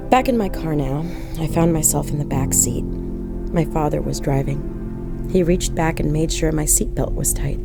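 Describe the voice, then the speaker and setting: A woman's voice: on the low side, gravelly. A woman playing a haunted driver in a horror audio drama, confiding her eerie memories dramatically and directly to listeners. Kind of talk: first-person horror audio drama monologue.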